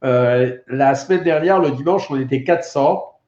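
A man's voice: medium pitch, a little slow, darkish tone. Middle-aged French man, doctor, stuffy from a cold, hesitant chatty delivery; roomy, dull lo-fi stream sound. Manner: chatty and explanatory, many hesitations. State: has a head cold. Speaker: doctor hosting a weight-loss live stream. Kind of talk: casual live stream talk answering viewer questions.

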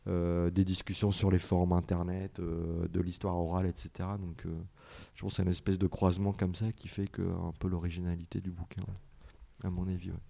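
A man's voice: deep voice, level tone, a little fast, creaky, warm tone. A man, an author and journalist, answering audience questions at a book talk, hesitant with many fillers and restarts. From France.

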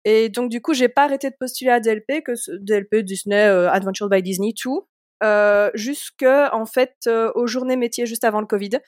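A Belgian woman in her thirties, co-hosting a podcast and telling a personal story in a lively way, often laughing. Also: a little high, brisk, no echo, clean studio sound. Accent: Belgian French. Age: 30s. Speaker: podcast co-host. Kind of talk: casual podcast chat.

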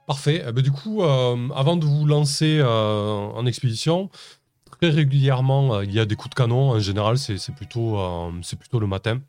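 A man acting as game master, improvising narration for a tabletop roleplaying game in a hesitant, halting way with repeated words. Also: mid-range voice, a little mellow, no echo. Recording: music playing under the voice.

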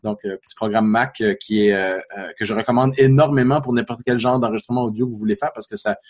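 A man's voice: lowish voice, fast talker. A middle-aged man with a Quebec French accent, chatting casually as a podcast guest, hesitant, with many fillers and frequent chuckles. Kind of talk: casual interview chat.

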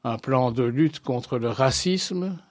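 A man answering interview questions as a guest, speaking in a formal, deliberate and articulate way. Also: medium pitch, animated, darkish tone, dry recording, studio quality. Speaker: interview guest, association president.

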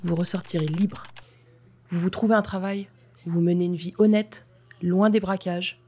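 A woman playing a stern, composed police inspector in an audio drama, speaking formally and methodically with a slightly husky voice. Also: lowish voice, steady tone, warm tone. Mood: calm, persuasive. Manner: formal, measured, procedural. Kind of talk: scripted audio drama dialogue.

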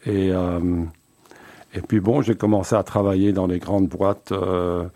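A man's voice: deep voice, level tone, a little slow, warm tone. A man in his seventies, born in Belgium, answering interview questions about his career at an easy pace, with many hesitations and restarts. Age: 70s. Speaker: company founder guest. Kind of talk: relaxed interview talk.

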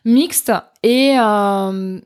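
A middle-aged woman speaks as an interview guest. Her voice sits at a medium pitch. She tells her story candidly, in informal and expressive conversational language. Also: extremely slow. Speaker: podcast guest, coach.

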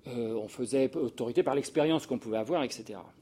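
Man, 60s, from the Paris region. Informal lecturer, mid-pitched voice, hesitant and digressive, often restarting his sentences. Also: quick pace, dark tone, slightly rough. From Paris region, France. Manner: hesitant, digressive delivery with self-interruptions and repeated words. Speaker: sociologist giving a talk to social work professionals. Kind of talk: informal lecture with personal anecdotes.

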